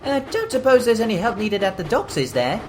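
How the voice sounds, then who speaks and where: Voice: mid-range voice, very animated, a little fast, darkish tone, a little hiss or hum, natural voice. A performer voicing an eager, fussy male tank engine in a children's audio drama, with a hesitant, earnest manner.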